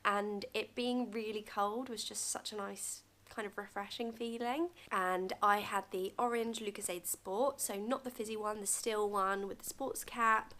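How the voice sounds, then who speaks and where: A woman's voice: medium pitch, fairly even intonation, a little slow, few pauses, bright, forceful tone. A young woman vlogging at home, talking to camera in a chatty, friendly way and giving practical tips. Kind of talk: casual advice vlog. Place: bedroom at home, indoors.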